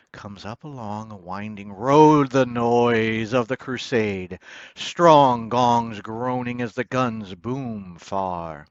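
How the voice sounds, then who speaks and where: Male poetry reader, declamatory and dramatic, very unhurried, shifting from hushed to proclaiming; dry, close sound. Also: on the low side, no room sound, muffled recording. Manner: declamatory, swelling from hushed line endings to loud proclamations.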